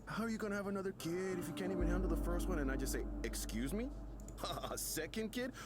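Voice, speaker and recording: A man's voice: high-pitched, expressive, quick pace. A man playing a defensive, self-absorbed, short-tempered expectant father in a video game, stammering indignantly as he confides. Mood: angry. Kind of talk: video game voice acting, confessional monologue. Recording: music behind the voice.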